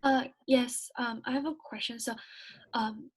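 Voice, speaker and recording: A young woman, a first-year student whose first language is not English, asking a halting question over a video call. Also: on the high side, steady tone, slightly rough. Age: young adult. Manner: hesitant, halting, many fillers. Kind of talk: question in an online workshop.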